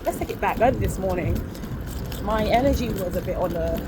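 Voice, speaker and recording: Woman vlogger, candid rambling talk, phone mic outdoors, clear street noise. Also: medium pitch, a little fast. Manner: rambling, candid, self-correcting with small laughs. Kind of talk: casual vlog chat to a phone while walking. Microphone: phone held up to her face. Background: traffic and street ambience.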